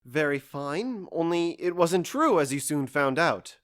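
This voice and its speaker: A man's voice: fairly high pitch, lively intonation, unhurried, a bit bright. A man playing a stoic, wounded lover in a stage-style audio drama, speaking in a formal, restrained and dryly ironic manner. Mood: sardonic. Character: stoic, wounded, sardonic man being left by love.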